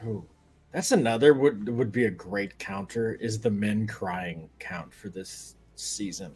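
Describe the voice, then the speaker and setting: A man's voice: fairly low pitch, a little slow, darkish tone. A man co-hosting a loose TV reaction chat. He chips in with dry, sarcastic, profane one-liners. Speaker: co-host. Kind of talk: casual reaction commentary.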